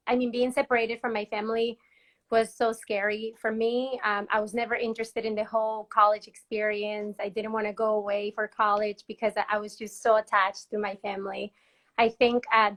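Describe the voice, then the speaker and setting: A woman's voice: mid-range voice, fairly bright tone. A young woman from Peru, a guest in a casual video-call interview, chatty with lots of fillers and laughs. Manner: chatty, laughs often, many fillers and restarts.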